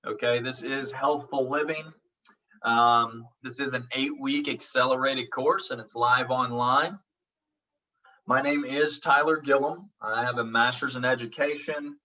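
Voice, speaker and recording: A man from Oklahoma with a noticeable accent, teaching a college class over a video call. His voice is bright and a little forceful, and he talks in a relaxed, reassuring way with lots of ums. The audio is dull and lo-fi, as if he sits back from the mic. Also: medium pitch, unhurried, far from the mic. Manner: reassuring, frequent ums, checks in with "okay?". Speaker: college instructor. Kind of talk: casual online class introduction.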